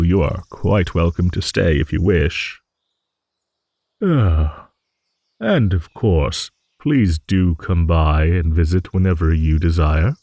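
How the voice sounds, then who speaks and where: A man's voice: low voice, a little mellow, no room sound, exaggerated voice. A man voicing an ancient, good-natured old dragon who rambles and muses in a fantasy audio roleplay. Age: older. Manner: rambling, musing, with hums and chuckles.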